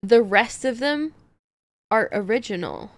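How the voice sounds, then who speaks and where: A woman's voice: fairly high pitch, animated, measured pace, no echo. A woman streamer chatting casually on a livestream, thinking aloud about game builds and laughing often.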